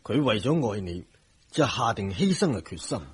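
An actor playing a kindly, regretful middle-aged doctor in a Hong Kong Cantonese radio drama, husky and measured, avuncular and a little hesitant. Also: male voice, fairly low pitch, big pitch swings, exaggerated voice. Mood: earnest, regretful.

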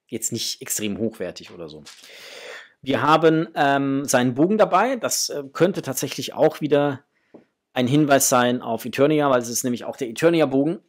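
A man, chatting informally and enthusiastically as he presents a casual unboxing review at home. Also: mid-range voice. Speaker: toy review channel host. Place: small home room, indoors.

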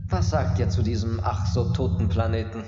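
A man's voice: medium pitch, moderate pace, dark tone, close to an everyday voice. A man playing a grave, commanding starship commander in a radio play, earnest and dramatic. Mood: serious. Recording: dull echoing tape, music behind.

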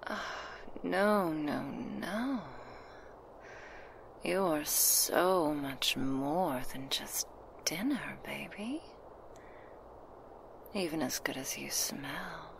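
Woman acting a slow, creaky, whispering seductive vampire. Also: lively intonation, background noise, exaggerated voice. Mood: seductive, possessive. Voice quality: breathy whisper with creaky low murmurs. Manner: slow, intimate, sultry. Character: seductive, hungry female vampire, doting and predatory. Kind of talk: whispered binaural ASMR roleplay. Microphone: very close binaural microphone.

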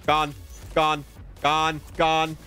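Higher-pitched man doing casual game commentary. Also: completely flat delivery, drawn-out words, pushed and bright, smooth, soft background music. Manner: informal, conversational, thinking aloud. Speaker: gaming video host reviewing a cosmetic bundle.

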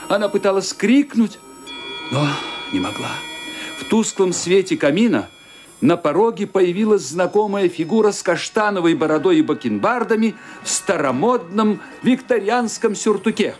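A man's voice: high voice, animated, normal speed, a little mellow. A man narrating a radio play in a dramatic, suspenseful reading style. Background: music underneath.

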